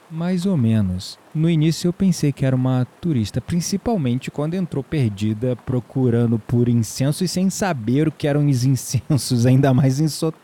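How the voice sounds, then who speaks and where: Ator como homem brasileiro sedutor de voz muito suave. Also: male voice, medium pitch, warm tone, slight background noise, natural voice. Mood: playful, teasing. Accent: Brazilian. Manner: teasing, chuckling, conversational. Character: easygoing, flirtatious man, herb grower, playful and warm. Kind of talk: audio drama dialogue.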